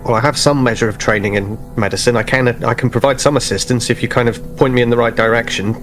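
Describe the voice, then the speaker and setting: A man playing an earnest, helpful young ensign in a tabletop game, polite and steady. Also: mid-range voice, fast, lightly acted.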